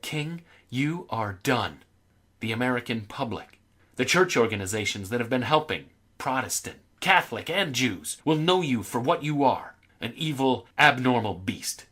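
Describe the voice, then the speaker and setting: An actor playing a menacing, contemptuous male blackmailer, reading a threatening letter in an accusatory, deliberate manner. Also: mid-range voice, animated, sharp tone. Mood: cold menace.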